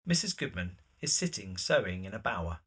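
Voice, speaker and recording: A narrator reading scripted stage directions for an audio drama in a measured, descriptive way. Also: deep voice, big pitch swings, fairly bright tone, husky.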